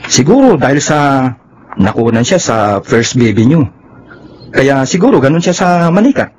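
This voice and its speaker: A man's voice: medium pitch, very animated, moderate pace, darkish tone, a little hiss or hum, natural voice, low-quality recording. An actor playing a tactful, concerned male coworker with a Filipino accent, casual and hesitant, in a radio drama.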